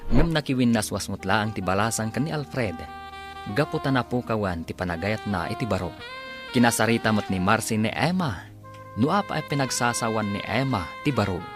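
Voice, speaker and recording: A man's voice: lowish voice, expressive, fairly quick. A man with a Filipino accent narrating a drama serial in a dramatic scripted style. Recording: music under the voice.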